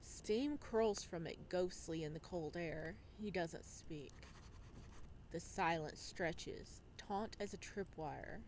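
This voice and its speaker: A woman's voice: fairly low pitch, takes it slowly, darkish tone, a little hiss or hum. A woman hosting a game playthrough, reading narration aloud dramatically and adding casual commentary. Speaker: video game playthrough host.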